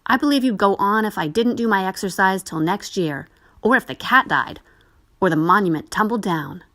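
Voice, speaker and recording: A woman's voice: medium pitch, lively intonation, normal speed, a bit bright. A woman voicing a restless, mocking young schoolboy in a storybook reading, with a raised, impish voice. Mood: teasing.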